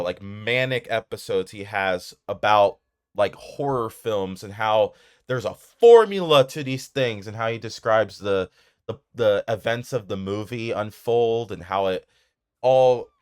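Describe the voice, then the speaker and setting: Enthusiastic man with a smooth low voice. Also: a little slow, a little mellow. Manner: enthusiastic, rambling, informal. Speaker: video creator reviewing horror films. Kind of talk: casual opinion commentary.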